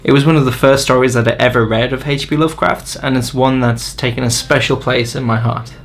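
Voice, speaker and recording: A man's voice: medium pitch, fairly even intonation, a little fast, slight background noise. A man narrating a scripted book review in an enthusiastic, opinionated way. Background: faint music.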